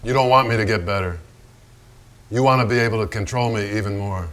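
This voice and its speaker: A man's voice: on the low side, brisk, fairly bright tone, lightly acted. Blunt, brooding hard-drinking painter played by a man. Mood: brooding, accusing. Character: Jackson, hard-drinking, menacing, self-pitying male painter. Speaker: stage actor. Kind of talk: theatrical staged reading, narration mixed with dialogue.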